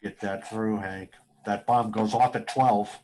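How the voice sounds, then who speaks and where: A man's voice: on the low side, fairly even intonation, a little slow, darkish tone, slight background noise, lightly acted. A man playing a desperate, threatening pilot in a radio drama. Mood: grim demanding. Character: desperate male pilot threatening to blast the base. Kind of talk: live radio drama acting.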